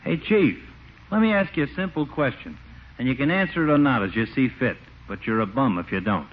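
An actor playing a blunt, slangy, opinionated small-town barber in an old radio comedy, repeating himself for emphasis. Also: male voice, medium pitch, lively intonation, a little fast, lightly acted. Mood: breezy, pushy. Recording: muffled, noisy vintage radio recording.

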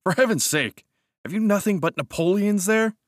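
A brash young cavalry officer in a storybook reading, hearty and teasing, his voice pushed noticeably higher as a man puts him on. The sound is dry and close, with no room around it. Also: sing-song, moderate pace, fairly bright tone, no echo. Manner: hearty, teasing, raised pitch.

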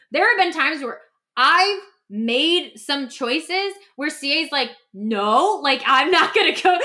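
A woman hosting a podcast as a coach, talking casually and emphatically in an animated, informal way. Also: high-pitched. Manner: animated, emphatic, acts out imagined quotes; informal, peppered with "like". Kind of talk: casual conversational podcast talk.

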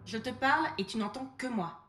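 An actress plays a tired, loving wife in an audio drama. Her voice is fairly high, soft and intimate with gentle reproach, over clearly audible background noise. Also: animated, fairly quick, natural voice. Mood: weary, tender. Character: weary, affectionate wife chiding her restless husband. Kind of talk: naturalistic French audio drama acting.

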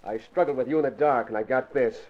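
A man's voice: mid-range voice, fast, lightly acted. A man playing a tough, accusing gangster sidekick in a 1940s radio crime drama, blunt and slangy. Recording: dull vintage radio recording.

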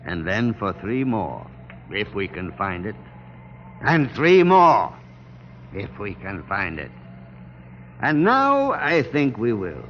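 An actor playing a weary, resolute American general in a radio drama, speaking in grave, deliberate, theatrical speeches. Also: male voice, fairly low pitch, wildly swooping pitch, fairly bright tone, heavily acted. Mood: weary, determined. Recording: dull, hissy old radio broadcast.

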